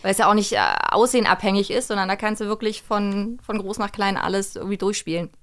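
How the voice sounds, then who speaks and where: A woman's voice: mid-range voice, fairly even intonation, fast. A woman talking casually as an interview guest. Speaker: guest, voice actress and director.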